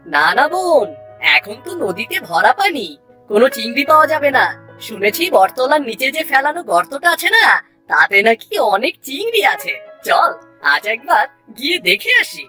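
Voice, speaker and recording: A woman's voice: fairly high pitch, very animated, normal speed, sharp tone, soft background music. A woman voicing a brave, practical village boy, a bossy and reassuring older brother in a children's cartoon.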